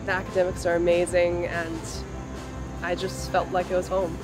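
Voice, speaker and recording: A woman's voice: lowish voice, monotone, normal speed, rough recording. A young woman from North Carolina, a college student, giving a casual testimonial. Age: young adult. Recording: music bed behind the voice.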